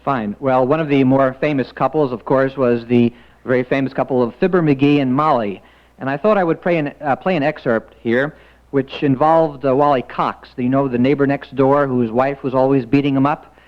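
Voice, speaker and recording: A man's voice: medium pitch, steady tone, moderate pace. Man in his thirties, dull radio sound. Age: 30s. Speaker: radio guest, old-time radio enthusiast. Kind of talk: informal explanatory interview talk. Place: radio studio. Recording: dull archival radio broadcast.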